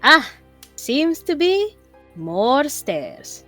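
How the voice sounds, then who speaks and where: A woman voices a high-pitched, playful and bashful young adventurer in a fantasy tabletop game. Her delivery is slow and very lively in pitch. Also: heavily acted. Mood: bright, curious. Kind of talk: tabletop roleplay. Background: music.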